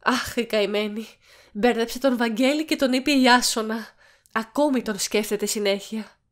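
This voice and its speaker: Actress playing a fussing, high-voiced doting aunt. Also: female voice, natural voice. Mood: worried. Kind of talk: audio drama acting.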